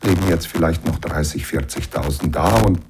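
A middle-aged man from the Banat in western Romania, answering interview questions in a measured, explanatory way with frequent fillers. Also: a bass voice, background noise. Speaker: interview guest.

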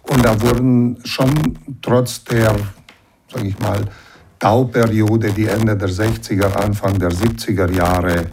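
Low-voiced man from Banat, measured telling. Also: steady tone, gravelly, background noise. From Banat, western Romania. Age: middle-aged. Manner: measured, explanatory, with frequent fillers. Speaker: interview guest. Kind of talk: reflective interview answers.

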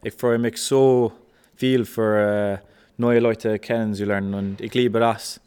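A young man is a guest, answering questions in hesitant learner's German. He speaks very slowly and pauses often to search for words. His voice is smooth and fairly low. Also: flat delivery, darkish tone. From Ireland. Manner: halting, many fillers, searching for words. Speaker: exchange student guest. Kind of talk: casual interview in German as a learner.

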